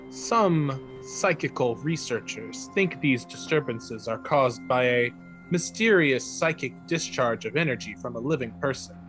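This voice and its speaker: A man reading a written account aloud as a narrator, measured and formal, with carefully enunciated phrases. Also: medium pitch.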